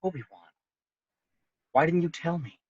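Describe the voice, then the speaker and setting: A man's voice: a little high, normal speed, breathy edge, no room sound. Man reading earnest young apprentice, muffled and distant. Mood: dejected, reproachful. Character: earnest, troubled young male Jedi apprentice. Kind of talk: online amateur script table read. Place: home room, on a video call. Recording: dull, distant video-call mic.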